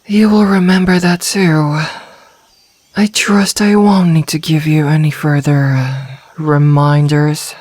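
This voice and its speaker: A woman voicing a gentle, protective snake-woman in a calm, soft, reassuring ASMR roleplay manner. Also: deep voice, slow pace. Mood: firm calm.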